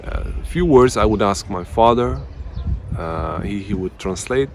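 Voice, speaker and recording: A man's voice: lowish voice, expressive, a little slow, darkish tone, a little hiss or hum. Hesitant man. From Uzbekistan. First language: Russian. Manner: hesitant, many fillers and restarts. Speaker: language teacher, channel host. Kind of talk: personal story to camera.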